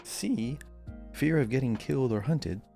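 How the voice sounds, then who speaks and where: A man's voice: mid-range voice, animated, a little slow, creaky, mellow, soft background music. A man hosting a podcast quiz, reading out questions and chatting casually.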